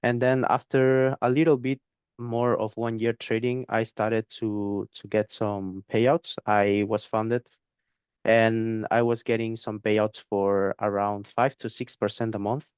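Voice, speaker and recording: Young male guest, clear resonant tone, dry close sound, halting delivery with fillers. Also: lowish voice, flat delivery, darkish tone, no echo. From Spain. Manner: hesitant, repeats words, many fillers. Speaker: guest trader. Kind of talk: interview conversation.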